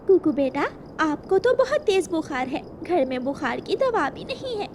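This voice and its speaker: Woman as a high-voiced tender mother sparrow. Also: sing-song, moderate pace, noisy background. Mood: worried. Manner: gentle, motherly.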